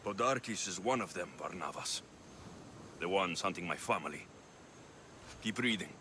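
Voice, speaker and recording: A man playing a gruff, sardonic young mercenary in a video game, speaking bluntly and dryly. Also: low-pitched, expressive, moderate pace, bright, forceful tone, a little hiss or hum. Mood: resolute. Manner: blunt, terse, dry. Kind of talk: video game cutscene acting. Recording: music behind.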